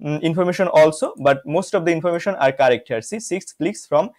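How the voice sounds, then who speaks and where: A young man from Bangladesh with a slightly raised pitch, guiding viewers through a software tool on screen. He talks from a small room into a close desk microphone, calling out each click and often addressing viewers as "guys". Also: brisk. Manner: walks through steps, frequent "guys" and "see". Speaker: software reviewer. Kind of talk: screen-recorded software tutorial.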